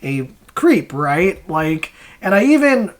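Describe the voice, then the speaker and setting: A man's voice: sits high, sing-song, takes it slowly. Casual, hesitant man talking through his work. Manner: hesitant, self-questioning, chuckles while explaining. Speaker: comic creator and host. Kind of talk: casual rambling creator commentary.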